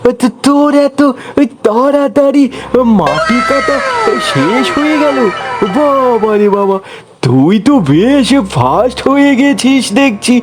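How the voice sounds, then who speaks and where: An actor voicing an old village uncle in a cartoon, groaning and grumbling with drawn-out, repeated exclamations and a sly streak. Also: sits high, sing-song, faint music behind, heavily acted. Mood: startled, grudging. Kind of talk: Bangla cartoon voice acting.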